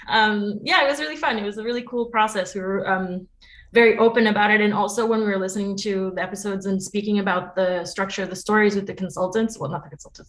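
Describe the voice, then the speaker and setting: Chatty woman in a casual interview. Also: mid-range voice. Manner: chatty, laughs often. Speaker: interview guest, podcast producer. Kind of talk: casual, animated interview chat.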